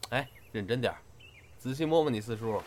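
一位男演员在广播剧里饰演沉稳、宠溺又爱调侃的相声搭档兼恋人，语气含蓄带点揶揄。. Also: male voice, medium pitch, brisk, a little hiss or hum, close to an everyday voice. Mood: teasing. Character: steady, teasing older male comedy partner and lover. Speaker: voice actor. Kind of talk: intimate audio drama dialogue. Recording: music behind the voice.

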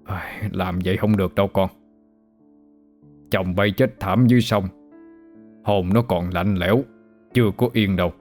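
A man's voice: low voice, fairly even intonation, moderate pace, pauses often, darkish tone, soft background music, lightly acted. A man voicing an elderly village ritual master, stern but kindly, in a Southern Vietnamese accent, in an earnest, folksy, admonishing way for an audio story.

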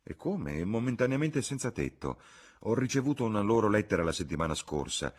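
An eager young gentlewoman voiced by a man in an audiobook. The voice sits higher than the reader's own and moves in lively swings, polite but insistent. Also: a little fast. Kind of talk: audiobook character voice.

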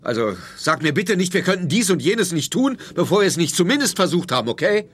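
Impatient police superior: raspy male voice, commanding, dryly sardonic, radio drama. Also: high voice, big pitch swings, a little fast, a bit bright, exaggerated voice. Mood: impatient, forceful. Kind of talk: German radio drama acting.

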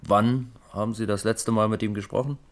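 Male actor as radio crime drama police officer: low voice, measured, formal, insistent questioner. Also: steady tone, natural voice. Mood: probing, insistent. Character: persistent, formal East German police first lieutenant, calmly probing.

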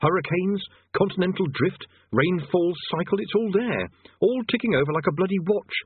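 A man's voice: high voice, normal speed, breathy edge, no echo, phone-quality sound, voice pushed up. A man playing a weary, nervously cautious, sarcastic adult man with a dry, exasperated manner in an audiobook story. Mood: exasperated patience.

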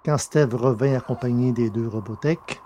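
Male audiobook narrator, deliberate and measured, warm dark tone. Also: medium pitch, a little hiss or hum. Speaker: narrator and producer.

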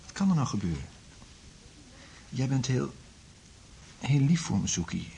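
A man's voice: mid-range voice, very animated, unhurried, creaky, dark tone, a little hiss or hum. An actor in a radio play playing a confused, distressed man in love, speaking hesitantly. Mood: tender, drowsy. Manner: hesitant, faltering.

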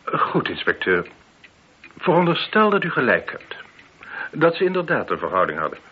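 A man's voice: a little high, huge pitch swings, normal speed, breathy edge, exaggerated voice. An actor playing a composed, cornered father suspected of murder in a radio play, speaking formally with wry control. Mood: dry, defiant. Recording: dull, noisy old radio play recording.

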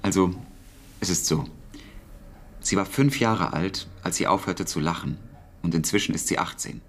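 Radio drama casting: exacting, quietly smitten codemaster, very low voice, hesitant and precise, wistful. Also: male voice, normal speed, a little hiss or hum. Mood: reflective storytelling. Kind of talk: German radio drama acting.

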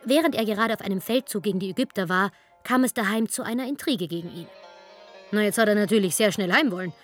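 A woman's voice: medium pitch, fairly bright tone, lightly acted. An actress playing a chatty, wry female storyteller who narrates ancient history in a colloquial, digressive way for a comic radio play.

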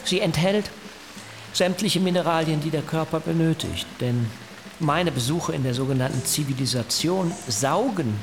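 An actor playing a zealous, self-righteous German cult founder who speaks pompously and formally in a radio drama. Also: male voice, a little high, lively intonation. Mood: earnest, lofty. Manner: pompous, measured, formal speech. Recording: hiss, long reverberant room.

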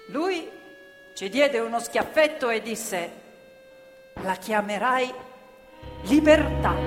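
A woman performing a theatrical narrative monologue in a dramatic, deliberate manner. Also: a little high. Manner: dramatic, deliberate, with acted-out dialogue and repetition. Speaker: stage actress and storyteller. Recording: reverberant hall with live music.

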